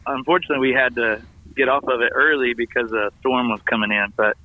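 A slightly hoarse man calls in over a tinny, narrow phone line. He is a pastor reporting on his week with upbeat enthusiasm. He rambles warmly through a lot of "um"s, and the sound is dry and close. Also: mid-range voice, fairly even intonation, quick pace, dry recording. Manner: upbeat, enthusiastic storytelling with fillers. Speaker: family ministries pastor. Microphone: phone call.

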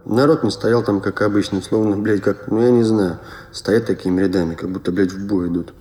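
A man's voice: on the low side, fairly even intonation, a little fast, dark tone, close to an everyday voice. An actor playing a grumbling city man in an audio play, with matter-of-fact colloquial speech in a roomy echo. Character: grumbling city man narrating bus commuting and prices. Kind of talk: audio play monologue, deadpan everyday speech.

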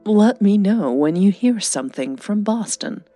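Woman as a caring but blunt middle-aged mom in an audio drama, slow, husky, emotional. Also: medium pitch, animated, mellow, soft background music. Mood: tender.

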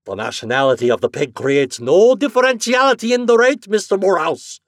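A man voicing a stubborn, rule-bound male express agent with a thick Irish accent, talking loud and firm. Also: high voice, lively intonation, fairly quick, no echo, studio quality, pitched above the performer's own voice.

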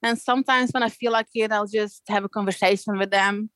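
A woman's voice: medium pitch, steady tone, brisk, fairly bright tone, no echo, poor audio. A woman from Belgium with a Belgian accent, telling personal stories in a casual interview, using informal surf slang. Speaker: podcast guest, amateur big wave surfer.

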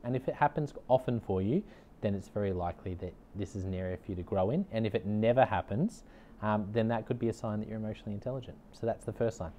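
A middle-aged man with an Australian accent and a somewhat low voice talks straight to his listeners about leadership. He sounds earnest and upbeat, and he repeats his main points for emphasis. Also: fast talker, mellow, slight background noise. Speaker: leadership consultant and podcast host. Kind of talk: direct-to-camera motivational talk.

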